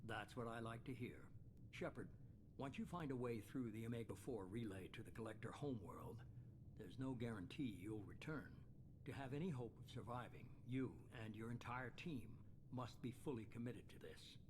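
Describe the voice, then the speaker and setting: Actor as cool, calculating mastermind, noisy background. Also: male voice, mid-range voice, lightly acted. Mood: grave, commanding. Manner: cool, measured, deliberate. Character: calculating, ruthless, commanding male shadowy mastermind. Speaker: video game character. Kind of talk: cinematic video game acting.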